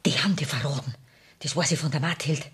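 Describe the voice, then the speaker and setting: An actress playing a fierce, devoted lover in a radio play, with a Bavarian accent and a very husky voice. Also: female voice, low-pitched, quick pace, fairly bright tone, full character voice. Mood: spiteful. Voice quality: very husky, breathy.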